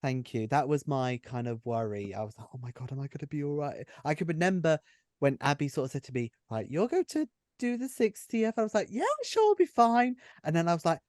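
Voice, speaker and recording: A man's voice: a little high, animated, brisk, a little mellow, dry recording. Effusive man chatting, dry close sound. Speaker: audio drama writer and performer. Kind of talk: casual group chat.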